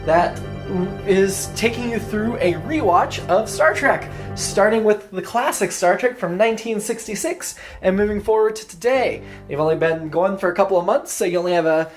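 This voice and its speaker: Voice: medium pitch, normal speed, soft background music. A podcast host giving an introduction and a plug for programming in a scripted announcing style.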